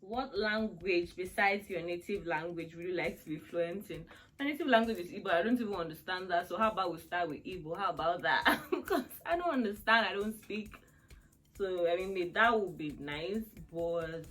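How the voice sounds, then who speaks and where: Woman, twenties, lowish voice, rambling and laughing, faint music behind. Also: fairly bright tone. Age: 20s. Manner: chatty, rambling, laughs often. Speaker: vlogger. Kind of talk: casual Q&A chat.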